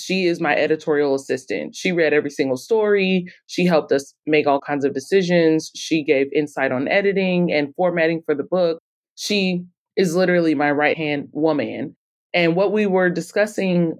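A woman's voice: sits low, moderate pace, darkish tone. A woman speaking conversationally as a guest in a podcast interview, explaining her work. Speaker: podcast guest, author and editor-in-chief.

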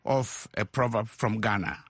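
Lively-voiced male radio news host. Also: on the low side, unhurried, slightly rough, no echo, studio quality. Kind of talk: scripted news presenting.

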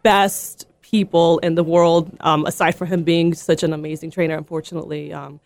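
A woman's voice: deep voice, flat delivery, moderate pace, a little mellow, no echo. A woman raised in Los Angeles, a pro wrestler chatting as a guest in an upbeat way, full of fillers. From born in Armenia, raised in Los Angeles. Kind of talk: casual interview chat.

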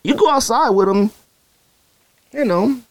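A man's voice: very high voice, expressive, measured pace, dry recording. A man from Arizona, hosting a podcast and talking casually in a slangy, rambling way, often mimicking other people. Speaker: podcast host. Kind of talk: casual solo podcast talk.

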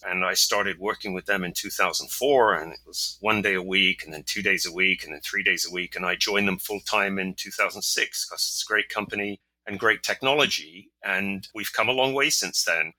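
English guy in his 60s, low voice, bright and chatty, telling his career story. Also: poor audio. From England. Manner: chatty and enthusiastic. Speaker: software executive guest. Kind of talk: casual interview talk.

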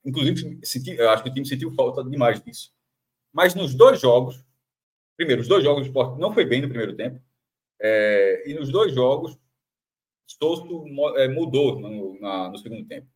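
A Brazilian man analyzing football on a panel show, animated and full of restarts and repeated phrases. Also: medium pitch, moderate pace. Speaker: football commentator. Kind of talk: sports analysis panel chat.

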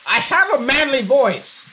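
Excitable young man who shoots up high. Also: a little hiss or hum, rough recording. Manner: excitable, voice jumps high when worked up. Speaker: player. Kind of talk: improvised in-character banter.

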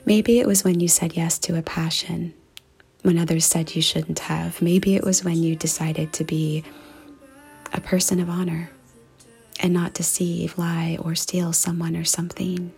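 A woman leading a guided meditation and prayer in a soft, hushed, breathy and creaky voice, speaking deliberately with pauses. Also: on the low side, level tone. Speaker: podcast host and meditation guide. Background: music.

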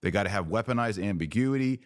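Low-pitched man debating on a podcast. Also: animated, fast, no echo, studio quality. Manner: emphatic, argues through hypothetical examples. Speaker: podcast host. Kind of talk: conversational religious debate.

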